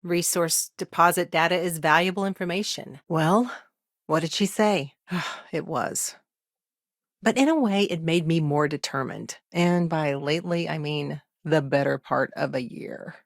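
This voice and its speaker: A dry, studio-clean recording of a principled female lawyer from a sci-fi video game. Her voice sits a little below average for a woman. She talks in an earnest, articulate, conversational manner, pausing thoughtfully as she reasons things through. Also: sits low, vocal fry, no echo. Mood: rueful, resolute. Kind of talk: video game character dialogue.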